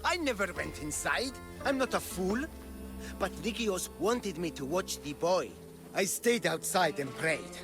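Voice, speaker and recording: An actor playing a guilt-ridden, shaken old caretaker who speaks slowly and haltingly after torture. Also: male voice, sits high, expressive, over music. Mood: grieving. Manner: slow, halting, mournful. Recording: orchestral game score underneath.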